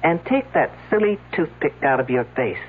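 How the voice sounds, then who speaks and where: An actress playing a sharp-tongued woman detective with a dry, sarcastic way of talking. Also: low voice, lively intonation, normal speed, breathy edge. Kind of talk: 1970s radio drama acting. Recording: tinny old radio broadcast.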